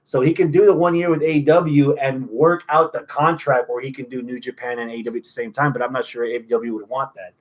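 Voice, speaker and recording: A man's voice: mid-range voice, fairly even intonation, fairly quick, hardly any pauses, rough recording. A man with an American accent hosting a wrestling podcast, giving opinionated, profane analysis in a casual chat.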